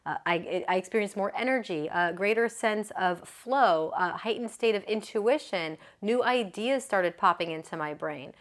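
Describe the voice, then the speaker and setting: A woman's voice: medium pitch, normal speed, few pauses. A woman yoga business coach giving a motivational talk, chatty and earnest, often checking in with the listener and laughing easily.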